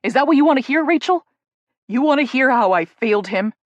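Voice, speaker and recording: A woman voicing an anguished young male hockey player who shouts and swears through sobs. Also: mid-range voice, a little slow, no echo, dull audio, close to an everyday voice. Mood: anguished shouting.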